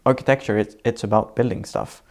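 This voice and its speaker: A Swedish-accented man with a low, gravelly voice talks through his ideas in an interview. He is measured and reflective, building up definitions one point at a time as he answers. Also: steady tone, darkish tone. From Sweden. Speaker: architect guest. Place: sitting indoors in Norway.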